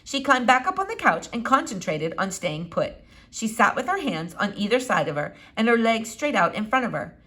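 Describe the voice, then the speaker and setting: A woman narrating a children's novel as an expressive read-aloud. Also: fairly high pitch, moderate pace. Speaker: storybook reader.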